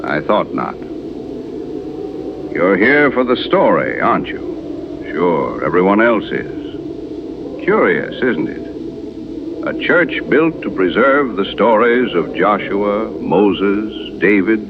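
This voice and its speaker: An actor playing an ominous old small-town priest, slow and knowing, telling a story in a radio horror drama. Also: male voice, deep voice, exaggerated voice. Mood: wry knowing. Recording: dull lo-fi radio sound, clear noise.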